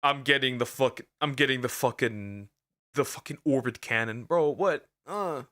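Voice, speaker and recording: A man's voice: medium pitch, moderate pace, bright, forceful tone. A man streaming as a vtuber and reacting to clips, blunt and emphatic, swearing and repeating his objections. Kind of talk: casual reaction commentary.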